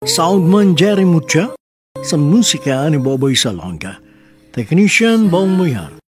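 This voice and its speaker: Slow, melodic male radio announcer. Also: fairly high pitch, big pitch swings. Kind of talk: scripted credits and sign-off announcement. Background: music.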